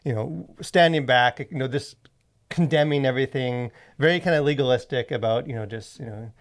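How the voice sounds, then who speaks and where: Rambling man with a creaky voice. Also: mid-range voice. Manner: rambling, halting, packed with "like" and "you know". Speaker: podcast co-host. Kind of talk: casual discussion of faith and culture.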